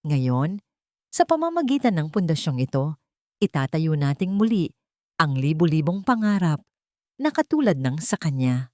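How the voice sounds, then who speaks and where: A woman narrator plays a resolute businesswoman addressing a gathering in an audio love story. Her Filipino-accented voice is steady and clear, slightly low, with hopeful, expressive phrasing. The recording is dry. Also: moderate pace, pauses often, dry recording. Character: resolute, hopeful young businesswoman giving a speech.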